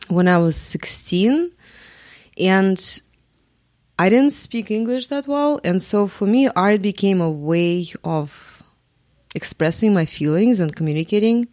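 A woman in her forties with a Russian accent, a guest on a podcast, speaking thoughtfully with frequent pauses and ums. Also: mid-range voice, darkish tone, dry recording, studio quality. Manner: thoughtful, hesitant with many ums. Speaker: guest artist and professor. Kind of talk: podcast interview.

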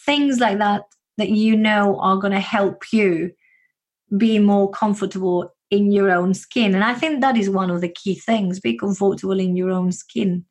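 A woman's voice: medium pitch, normal speed. A woman with a Spanish accent, giving earnest, encouraging advice in a casual podcast chat, with frequent fillers. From Spain.

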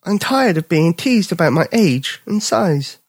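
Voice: mid-range voice, very animated, measured pace, slightly rough, no room sound, clean studio sound, voice pushed up, exaggerated voice. A storyteller voicing a young male bear cub who is playful and kind. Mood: earnest. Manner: playful, earnest.

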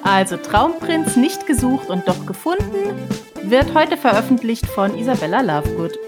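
Higher-voiced woman co-hosting over music. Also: very animated, moderate pace. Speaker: podcast co-host. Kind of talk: casual podcast chat.

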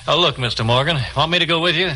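An American man acting an eager, anxious, folksy helper in a 1950s radio drama, earnest, excitable and full of questions. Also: fairly high pitch, fast talker. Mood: puzzled, excited. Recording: noisy, clicking old radio recording.

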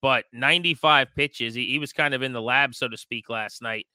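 A man co-hosts a sports show with conversational commentary. His voice is bright and crisp at a medium pitch, picked up dry on a close microphone. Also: moderate pace, no room sound.

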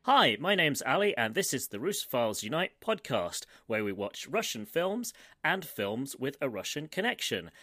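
A man's voice: mid-range voice, big pitch swings, normal speed, fairly bright tone, faint music behind. A man hosting a podcast in a casual, friendly way, with lots of fillers. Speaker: podcast host. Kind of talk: casual chat.

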